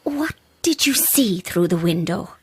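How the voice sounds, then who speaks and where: A woman playing an eager, prying spiritualist in an audio horror drama, with a breathy, hushed voice. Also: fairly low pitch, sing-song, measured pace, slight background noise. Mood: hushed, probing. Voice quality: breathy, whispery.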